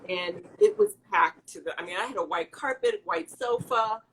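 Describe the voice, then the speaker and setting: A woman's voice: medium pitch, expressive, moderate pace, a bit bright, slight background noise, poor audio. A middle-aged woman from the American Midwest, chatting casually as an interview guest, laughing often and restarting her sentences.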